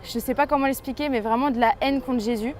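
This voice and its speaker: A woman's voice: sits high, steady tone, fast talker, a little mellow. Young woman, earnest personal testimony. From France. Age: 20s. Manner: earnest, hesitant, reflective storytelling. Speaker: person giving a faith testimony. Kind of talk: personal testimony, told to camera.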